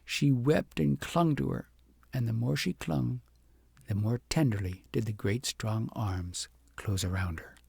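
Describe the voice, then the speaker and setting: A man reading a fairytale audiobook in a dramatic, deliberate storytelling manner. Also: mid-range voice, gravelly, darkish tone, breathy edge, no room sound. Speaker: audiobook narrator. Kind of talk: expressive scripted fairytale narration.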